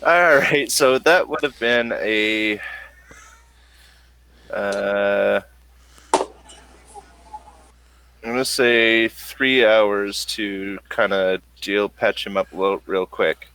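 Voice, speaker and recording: A man with a bright, forward voice runs a tabletop roleplaying session. He narrates casually and haltingly as game master. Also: on the low side, fairly even intonation, smooth.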